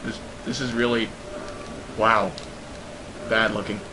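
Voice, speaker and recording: A man's voice: on the low side, level tone, slow pace, background noise. A man giving casual, joking let's play commentary with wry riffing.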